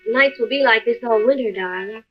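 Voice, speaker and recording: A woman's voice: a little high, brisk, faint music behind, natural voice. An actress playing a caring, persistent young wife in an old-time radio drama, coaxing and anxious about her husband. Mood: sleepy, gentle. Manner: coaxing, pleading. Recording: muffled old radio recording.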